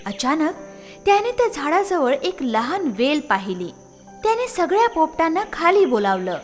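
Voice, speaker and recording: High, animated woman storyteller. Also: moderate pace, soft background music. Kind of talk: scripted children's story narration.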